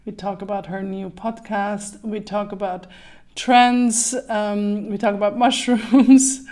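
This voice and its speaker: A woman's voice: medium pitch, darkish tone. A woman hosting a podcast in casual interview chat, upbeat and laughing often. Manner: upbeat, laughs often, slow careful intro. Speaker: podcast host.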